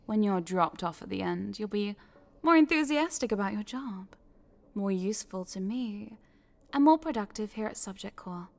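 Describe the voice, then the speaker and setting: A woman's voice: mid-range voice, expressive, moderate pace, creaky. A woman voicing a scheming, smugly controlling corporate boss in a scripted audio roleplay, coaxing the listener in a condescending, teasing, businesslike way. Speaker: voice actor.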